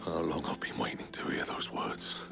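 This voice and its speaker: An actor playing a gruff, curt male blacksmith in a video game, weary and grudging in manner. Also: normal speed, in a whisper, lightly acted. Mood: quiet relief. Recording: music behind the voice.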